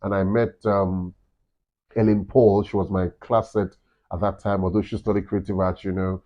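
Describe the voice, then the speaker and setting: A Nigerian man with a deep voice, a campus radio director chatting in an interview and telling stories with many "you know"s. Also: level tone, normal speed. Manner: rambling storytelling, frequent "you know". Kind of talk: casual interview chat. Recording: dull lo-fi sound.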